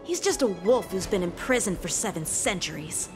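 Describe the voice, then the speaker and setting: A woman's voice: medium pitch, expressive, normal speed, over music, lightly acted. A woman voicing a bold young knight boy. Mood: earnest. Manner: earnest, incredulous questions. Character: eager young male knight, bold and quick to question. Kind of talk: game cutscene voice acting.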